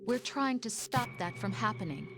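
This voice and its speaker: An actress playing a calm, patronizing female artificial intelligence posing as a lover, speaking measured, dramatic lines in a video game. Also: fairly high pitch. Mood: cool, lecturing. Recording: loud music under the voice.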